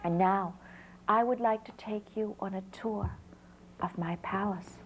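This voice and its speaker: A woman's voice: mid-range voice, measured pace, slight background noise, natural voice, rough recording. A woman voicing a gracious, generous dragon princess in a folk tale.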